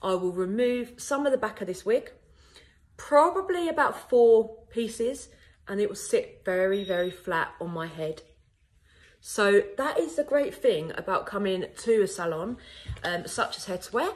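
A woman's voice: medium pitch, expressive, unhurried. Chatty woman in a salon. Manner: chatty and upbeat, frequent ums. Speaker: wig salon owner. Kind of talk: casual how-to video. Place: wig salon, indoors. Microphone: phone camera at arm's length.

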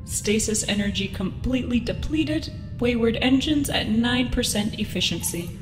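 A woman's voice: on the high side, lively intonation, moderate pace, lightly acted. A woman voicing an impersonal spaceship status computer in an audio drama. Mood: impassive. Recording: echoing, music behind.

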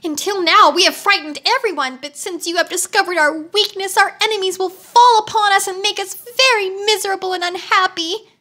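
A woman's voice: extremely high pitch, animated, moderate pace, few pauses, pushed and bright, pitched above the performer's own voice, exaggerated voice. A woman reading a storybook aloud, voicing a whining, tearful male wheeler creature who boasts but is really a coward. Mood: sobbing. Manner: whiny, tearful, pleading.

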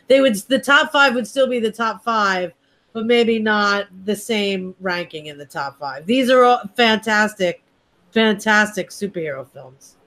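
A middle-aged woman co-hosts a movie talk over a webcam. Her pitch sits in a middle range. She wanders through her thoughts, restarting sentences as she weighs her picks. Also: fairly bright tone. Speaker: co-host. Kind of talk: casual movie-ranking chat. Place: dim room at home. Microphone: webcam on a video call.

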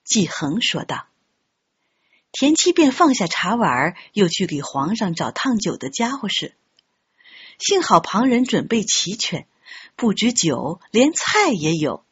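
A woman narrating an audiobook story in an expressive, scripted storytelling style. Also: mid-range voice, a little slow, slightly rough, muffled recording. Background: background music.

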